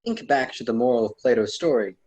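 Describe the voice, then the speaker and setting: A man playing a courtly, slightly husky poet-mentor. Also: medium pitch, expressive, a little fast, darkish tone. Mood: patient, persuasive. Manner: formal, old-fashioned, instructive phrasing. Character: courtly, patient ancient Roman poet acting as mentor. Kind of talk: theatrical radio drama dialogue.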